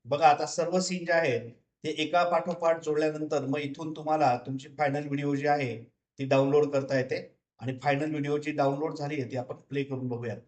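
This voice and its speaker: A man giving an unscripted, step-by-step software tutorial in a repetitive, instructional way. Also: on the high side, steady tone, brisk. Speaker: tutorial presenter.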